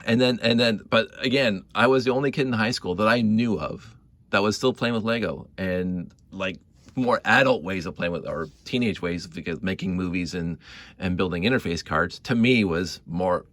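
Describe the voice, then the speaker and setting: A middle-aged man telling nostalgic stories casually, rambling and halting, repeating words and often given to chuckling. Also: deep voice, a bit bright, dry recording. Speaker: hobby video creator. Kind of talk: casual rambling storytelling to camera.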